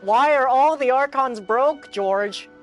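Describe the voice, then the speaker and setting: A man's voice: extremely high pitch, slow. A man streaming a game, chatting casually as he reacts to the story, with game music faintly behind him.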